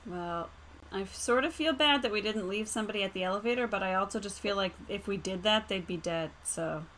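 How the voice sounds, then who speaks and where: A woman's voice: medium pitch, fairly quick, a bit bright, poor audio. A woman playing a tabletop role-playing game, chatting casually in and out of character. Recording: home recording with clear background noise.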